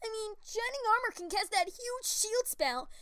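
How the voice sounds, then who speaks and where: A woman's voice: very high-pitched, lively intonation, moderate pace, bright, forceful tone, no room sound, clean studio sound, exaggerated voice. A woman voicing a brash, cocky young cartoon pegasus pony, with blunt, casual bravado. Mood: impressed, brash.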